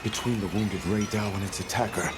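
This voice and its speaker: A man's voice: lowish voice, steady tone, fairly quick, heavy background noise, natural voice. An actor playing a grave, grateful village man who speaks formally and with measure in a video game cutscene. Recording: loud music behind the voice.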